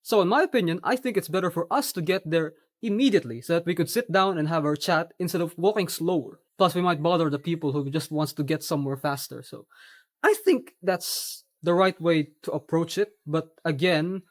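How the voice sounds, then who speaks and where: Young filipino guy, tagalog first language, kinda high voice, careful formal-ish way of talking. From Philippines. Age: young adult. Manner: earnest, measured, slightly formal phrasing. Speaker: vlogger. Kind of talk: personal reflective monologue.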